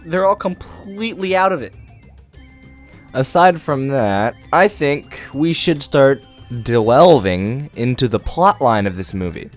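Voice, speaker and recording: A man's voice: medium pitch, expressive, takes it slowly, soft background music. A young man narrating a mocking comedic review of a bad movie. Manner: sarcastic, mocking. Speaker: video reviewer.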